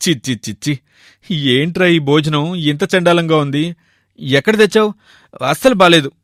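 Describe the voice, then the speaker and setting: Man playing a high-pitched fussy village shopkeeper. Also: normal speed, fairly bright tone, dry recording, studio quality, lightly acted. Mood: grumbling. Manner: bossy, complaining, familiar. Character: food-loving bachelor shopkeeper, fussy and grumbling.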